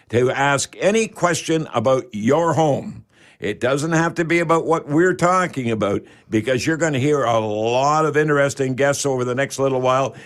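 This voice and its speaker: A man hosting a live call-in radio show in a folksy, rambling way with hesitant phrasing. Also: medium pitch. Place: radio studio.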